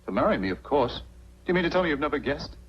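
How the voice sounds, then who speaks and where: An actor playing an earnest, frustrated small-town lawyer with an American accent, in 1940s film style. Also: male voice, fairly high pitch, sing-song, quick pace, breathy edge. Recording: dull, noisy 1940s film soundtrack with hum.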